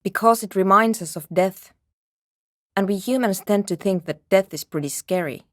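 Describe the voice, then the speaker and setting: A woman's voice: mid-range voice, normal speed, no room sound, lightly acted. An actress playing a curt, skeptical, world-weary woman programmer in a video game, speaking in a brusque, dry, dismissive way. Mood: dry, guarded. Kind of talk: video game character dialogue.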